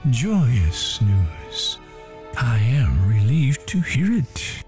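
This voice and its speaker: A villainous imperial chancellor for an audio drama. He draws out his words slowly with huge swings of pitch, sly and courtly, over faint music. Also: very animated, darkish tone. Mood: smooth insinuation. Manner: slow, courtly, insinuating.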